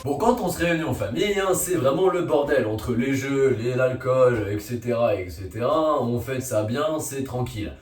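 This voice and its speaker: A young man giving a loud, sarcastic comic monologue full of slang and fillers. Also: medium pitch, moderate pace, hardly any pauses, soft background music. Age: young adult. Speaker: video creator. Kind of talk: comedic monologue vlog. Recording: roomy echo, a little far from the mic.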